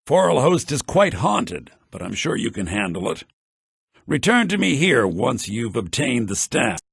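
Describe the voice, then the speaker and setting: A man's voice: mid-range voice, big pitch swings, unhurried, vocal fry, breathy edge, dry recording, clean studio sound, full character voice. A man playing a self-important, impatient military officer in a video game, speaking in a pompous, imperious way. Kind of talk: video game character acting.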